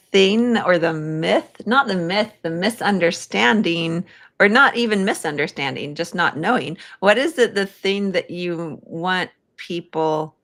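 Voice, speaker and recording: A woman's voice: mid-range voice, fairly bright tone. A woman hosting a casual interview, tentatively searching for words and rephrasing her questions as she asks them. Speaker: podcast host, interviewer.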